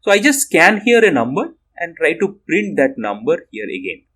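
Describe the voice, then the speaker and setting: Animated Indian man lecturing on programming. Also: moderate pace. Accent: Indian English. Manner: rambling with restarts, lively rising and falling pitch. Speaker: university lecturer.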